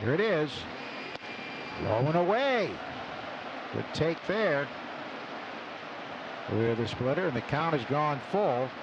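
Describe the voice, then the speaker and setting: A man's voice: a little high, big pitch swings, takes it slowly, pauses often, highs cut off. A man calling baseball play-by-play live on the radio from a stadium booth. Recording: radio broadcast over loud ballpark background noise.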